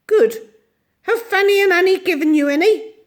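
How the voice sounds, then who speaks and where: A woman voicing a tiny, ancient, bossy old shopkeeper with a shrill, squeaky voice that swings between sweetness and soft menace. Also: moderate pace. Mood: chatty.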